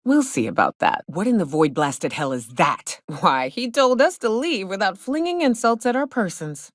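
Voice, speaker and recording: An actress playing a gruff, hard-drinking female monster hunter in a video game, sardonic and blunt, with a loose, earthy drawl. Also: medium pitch, very animated, normal speed, no room sound, studio quality, lightly acted. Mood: wry, blunt. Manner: sardonic, blunt, swaggering, colloquial swearing. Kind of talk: video game character dialogue. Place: close, dry studio recording.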